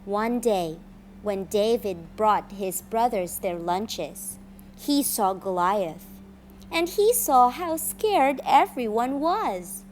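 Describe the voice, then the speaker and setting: A woman reading a children's story aloud in a dramatic, expressive way. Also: medium pitch, slow, slight background noise. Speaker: storyteller, teacher.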